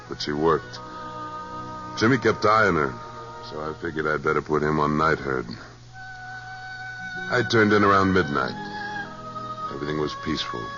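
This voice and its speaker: Deep-voiced, laconic cowboy trail boss. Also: male voice. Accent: American. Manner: laconic, dry, commanding. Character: tough, guarded cowboy trail boss, laconic war veteran. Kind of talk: 1950s radio western acting and narration. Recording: muffled vintage radio, loud music bed.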